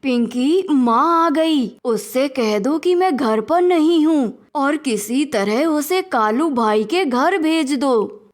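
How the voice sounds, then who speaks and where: A woman's voice: high voice, animated, slow. A woman voicing an evasive, cowardly vegetable son and husband in a cartoon moral story, pleading in manner.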